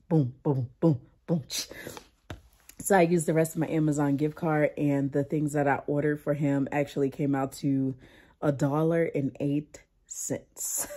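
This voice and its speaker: Low, dark-voiced woman, losing her voice. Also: slow pace, slightly rough. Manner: chatty, animated storytelling with "like" and "y'all". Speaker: vlogger, mother. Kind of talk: casual chat to camera.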